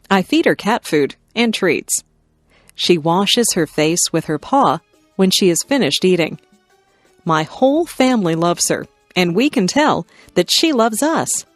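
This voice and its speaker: A woman narrating simple scripted stories for language learners, reading in careful, clearly separated phrases. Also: medium pitch, dry recording. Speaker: narrator of an English listening lesson. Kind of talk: scripted narration, read-aloud beginner stories.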